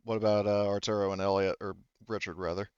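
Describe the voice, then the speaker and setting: Male game master, lowish smooth voice, casual halting narration, close dull-sounding recording. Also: little pitch movement, darkish tone, no echo. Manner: halting, informal, swears casually. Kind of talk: improvised tabletop game narration.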